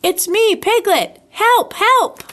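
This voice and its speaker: A woman's voice: very high voice, expressive, takes it slowly, fairly bright tone, voice pushed up, full character voice. A woman voicing a small, timid young male piglet in a storybook, wistful and fretful. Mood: pleading. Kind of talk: storybook read-aloud.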